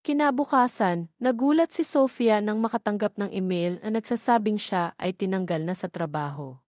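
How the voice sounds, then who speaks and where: Lady narrating a romance story, steady read, mid pitch, dead dry mic. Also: moderate pace, no room sound.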